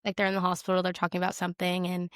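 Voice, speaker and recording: A woman's voice: lowish voice, monotone, racing, a bit bright, no room sound, clean studio sound. A woman co-hosting a podcast, chatting casually and informally about television, often dropping in "like". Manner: informal, peppered with "like". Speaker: podcast co-host. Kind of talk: casual chat about a TV show.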